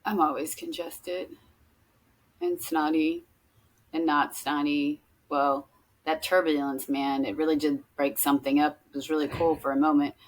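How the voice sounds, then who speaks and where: A woman's voice: deep voice, steady tone, unhurried, poor audio. A woman co-hosting a podcast, chatting casually and jumping between topics. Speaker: podcast co-host; teacher. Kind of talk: casual chat.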